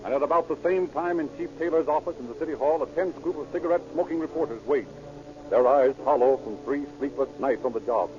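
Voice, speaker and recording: An American man narrating an old radio crime drama in a grave, measured, suspenseful style. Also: fairly high pitch, darkish tone, natural voice. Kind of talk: dramatic scripted radio narration, present tense. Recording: muffled old radio recording, music behind.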